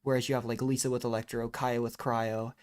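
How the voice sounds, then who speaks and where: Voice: mid-range voice, flat delivery, fairly quick, a little mellow. A game streamer giving casual live commentary in a rambling, musing way, drifting into tangents.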